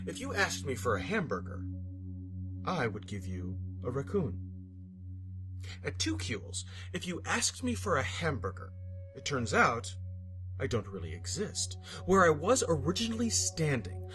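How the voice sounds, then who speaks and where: A man narrates a scripted horror story in a mid-pitched voice. His delivery is theatrical and solemn, with deliberate phrasing. Music plays behind him. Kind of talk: dramatic scripted creepypasta narration. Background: background music.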